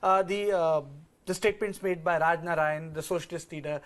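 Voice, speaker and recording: A man's voice: fairly high pitch, steady tone, fairly quick, fairly bright tone. A man making a professorial argument in a TV debate, with restarts and lists. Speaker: debate panelist.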